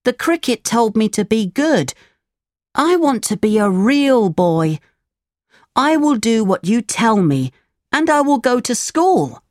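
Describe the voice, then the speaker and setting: A woman's voice: fairly high pitch, big pitch swings, slow pace, no echo, studio quality, pitched above the performer's own voice. A woman voicing a naughty, wilful boy puppet in a children's storybook, childlike, pleading and emphatic. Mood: earnest. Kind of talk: children's storybook character reading.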